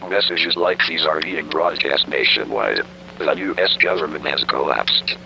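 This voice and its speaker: A man playing a grave emergency broadcaster, reading a scripted announcement in a solemn, formal, measured way. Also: a bass voice, vocal fry, sharp tone, heavily acted. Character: grave emergency broadcaster reporting national collapse. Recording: faintly noisy broadcast with hum.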